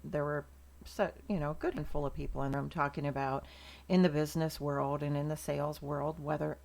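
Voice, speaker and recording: Low-voiced American woman, chatty and emphatic. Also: a little mellow. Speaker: sales trainer hosting a morning segment. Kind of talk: casual motivational talk to viewers.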